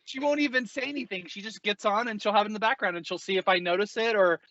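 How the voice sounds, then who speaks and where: A man's voice: high-pitched, fast, sharp tone, no echo, highs cut off. A man hosting a casual interview, chatting in an enthusiastic, effusive way. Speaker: podcast host, company executive.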